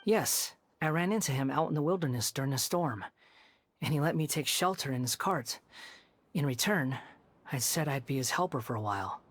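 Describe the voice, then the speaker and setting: A man's voice: medium pitch, animated, moderate pace, a bit bright, husky. Polite young male wanderer. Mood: earnest, polite. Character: polite young male wandering puppet, soft-spoken and guileless. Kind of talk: video game voice acting.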